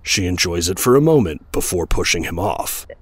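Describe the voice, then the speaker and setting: A man's voice: deep voice, animated, moderate pace, slightly rough, dry recording. A man reading scripted narration for an audio drama.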